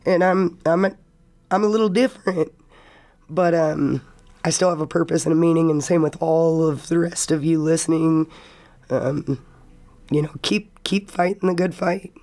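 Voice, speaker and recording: A hoarse young woman, a student guest on a college radio interview, speaking earnestly and reflectively while sick. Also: low-pitched, steady tone, moderate pace, warm tone. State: hoarse from illness. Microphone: studio microphone.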